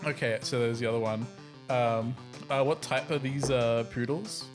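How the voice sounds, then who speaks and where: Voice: medium pitch, steady tone, normal speed. A tabletop game player bantering casually and playfully with the group. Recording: music playing behind the voice.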